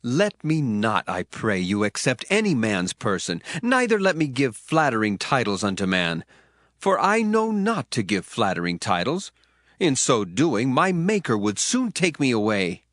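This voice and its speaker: A man's voice: medium pitch, big pitch swings, unhurried, fairly bright tone, no echo. A man voicing Elihu, an indignant young man eager to speak, in an earnest dramatized scripture reading with rising emphasis. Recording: dry voice, faint music underneath.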